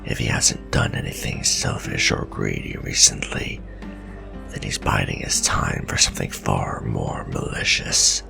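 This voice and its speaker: Super low growly werewolf hunter, ancient, bitter and scornful, fantasy asmr roleplay with music under it. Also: male voice, exaggerated voice. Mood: distrustful warning. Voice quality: very deep, gravelly, breathy growl. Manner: grim, scornful, slow and deliberate warnings. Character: gruff centuries-old male werewolf vampire hunter, bitter and distrustful. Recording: voice over clear background music.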